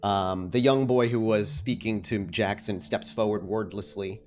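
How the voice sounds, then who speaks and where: A man working as game master, narrating a tabletop game in halting, deliberate phrases. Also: fairly low pitch, steady tone.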